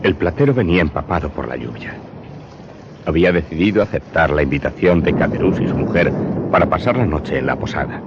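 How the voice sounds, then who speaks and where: Storyteller: low male eyewitness narrator for a dark radio drama, dull noisy recording. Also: animated, fairly quick. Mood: grave narration. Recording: dull, clearly noisy audio.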